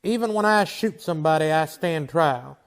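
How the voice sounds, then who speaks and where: A steady Old West marshal played by a man in a western radio play, with a high voice for a man. He is blunt, commanding and folksy, with a dry wit under the sternness. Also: normal speed, smooth. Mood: stern. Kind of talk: old-time western radio drama acting.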